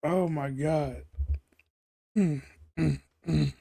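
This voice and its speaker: A man riffs loosely through a reaction video in heavy slang. He rambles, repeats himself and tacks "bro" onto his lines. His voice sits a touch on the high side. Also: steady tone, extremely slow, creaky, mellow. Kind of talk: casual reaction commentary.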